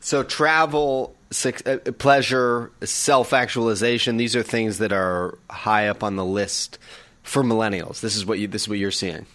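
A man's voice: on the low side, steady tone, unhurried, fairly bright tone. A young man from Nova Scotia hosting a podcast, introducing guests and asking questions in a friendly way with frequent stammered restarts. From Nova Scotia, Canada. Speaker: podcast host. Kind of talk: podcast interview.

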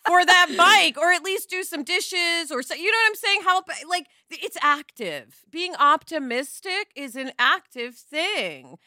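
Animated, bright, high-voiced woman. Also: a little slow, few pauses, no room sound, clean studio sound. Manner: opinionated, emphatic, uses profanity. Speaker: podcast co-host. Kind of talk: casual conversational podcast talk.

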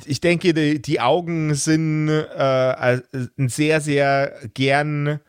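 A man's voice: a little high, little pitch movement, drawn-out words, clear, resonant tone, dry recording, studio quality. A man talking casually as a podcast host and game master, thinking aloud and often repeating words as he builds a fictional world.

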